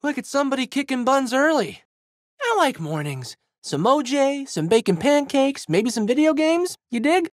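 Plucky teen boy adventurer, high voice, wildly swooping intonation, goofy, slangy, exuberant, video game hero. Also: sing-song, normal speed, no echo, studio quality. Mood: casual, musing. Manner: exuberant, slangy surfer-dude boyishness. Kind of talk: video game character voice lines.

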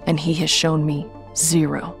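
A woman acting a low-voiced, bitter, haughty mother. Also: little pitch movement, unhurried, creaky, faint music behind. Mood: resentful, wounded. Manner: haughty, clipped, evasive. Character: bitter, controlling, image-conscious middle-aged mother. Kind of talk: audio drama dialogue.

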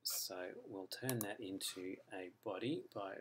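An Australian man explaining a computer design program step by step in a relaxed tutorial, with frequent ums. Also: low voice, a little slow, background noise. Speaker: software author demonstrating his add-in. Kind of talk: screen-recorded software tutorial. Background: mouse clicks and keyboard typing.

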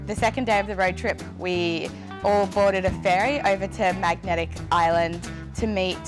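A woman's voice: medium pitch, steady tone, normal speed. Young Australian woman over music. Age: young adult. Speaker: youth climate network member. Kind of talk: casual interview recounting. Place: indoor living room on a sofa.

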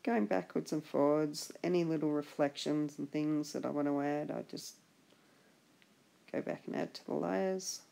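A woman giving a relaxed drawing tutorial as a voice-over, husky from a chest infection, chatty and encouraging. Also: low voice, normal speed. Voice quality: husky, gravelly. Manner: chatty, encouraging, trails off and restarts. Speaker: art instructor.

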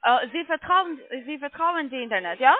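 A woman's voice: high voice, lively intonation, normal speed, dry recording. A woman with a foreign accent, a non-native speaker, working as a call center agent and arguing insistently, repeating herself over a phone line. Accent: foreign accent in German. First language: not German. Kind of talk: unscripted phone argument. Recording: tinny, narrow phone line.

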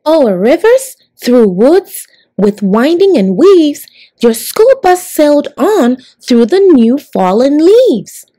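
Female storyteller for children's read-alouds: highish voice, very lively intonation, addressing young listeners deliberately. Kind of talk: expressive picture-book read-aloud for children.

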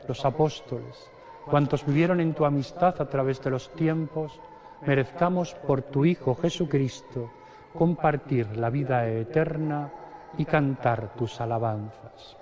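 A middle-aged man from Spain, a priest slowly and solemnly reciting the prayers of the Mass at the altar. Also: mid-range voice, warm tone. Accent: Spanish (Spain). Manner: slow solemn liturgical recitation, parts chanted. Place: large stone cathedral. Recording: long cathedral reverb.

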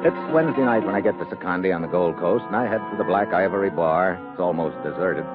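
An American actor playing a tough, wry secret agent and narrator in an old radio adventure. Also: male voice, low voice, fast talker, narrow, tinny audio, close to an everyday voice. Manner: wry, hard-boiled, sardonic. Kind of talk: 1950s radio adventure acting. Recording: old band-limited radio recording with music.

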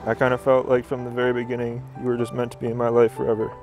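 A man's voice: mid-range voice, monotone, very fast. A young man, a groom, earnestly reading his written wedding vows at an outdoor ceremony. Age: young adult. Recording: music under the voice. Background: music.

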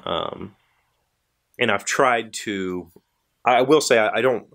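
A man's voice: mid-range voice, slow pace, fairly bright tone. A man in his forties with an American accent, chatting casually and in a rambling, self-deprecating way as the host of a video show. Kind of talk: casual chat to viewers. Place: small indoor workshop crowded with tools.

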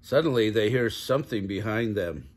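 A middle-aged man with a husky voice reading a children's storybook aloud, with casual asides and questions to the young listeners. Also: on the low side, expressive, normal speed. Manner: chuckles often, asks the listening children questions. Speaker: grandpa reading picture books aloud for kids. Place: living room, indoors.

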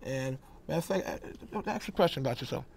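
A man's voice: medium pitch, lively intonation, very fast, creaky, darkish tone, a little hiss or hum. A middle-aged man hosting a casual studio talk show, repeating and rephrasing himself as he goes. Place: small TV studio with curtains. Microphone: lapel mic.

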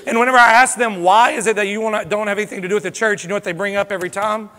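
A pastor preaching a fervent sermon, swinging from loud exhortation to hushed, confessional pleading. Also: male voice, high voice, fairly even intonation, racing. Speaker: lead pastor. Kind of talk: impassioned sermon. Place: church sanctuary. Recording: long hall reverb from a church sanctuary.

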